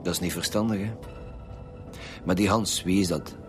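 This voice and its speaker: A man's voice: sits low, fairly even intonation, normal speed, music in the background, close to an everyday voice. A man playing a blunt, impatient police commissioner with a Flemish accent in a radio drama. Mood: probing, insistent. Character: gruff, insistent male police commissioner leading homicide detectives. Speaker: actor in a radio detective serial.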